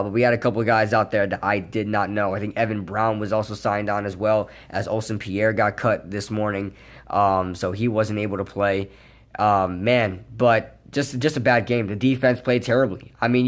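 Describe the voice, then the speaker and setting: A hoarse young man giving a casual, rambling post-game recap as a sports fan vlogger, with lots of fillers. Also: medium pitch. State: hoarse from yelling.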